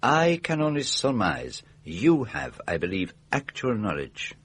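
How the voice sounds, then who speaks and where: Older Belgian detective, French-tinged accent, slightly husky, formal, precise, patient, shrewd, radio drama. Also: male voice, medium pitch, big pitch swings, a bit bright, full character voice. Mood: calm, insistent. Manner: precise, formal, deliberate questioning.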